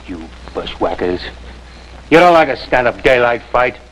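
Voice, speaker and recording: An actor playing a belligerent, taunting hothead in an old American TV western, full of contempt. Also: male voice, mid-range voice, big pitch swings, moderate pace, fairly bright tone, rough recording. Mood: sneering. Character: sneering, hot-headed male western fighter. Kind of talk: mid-century American TV western acting. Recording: old TV soundtrack, faint noise, rain.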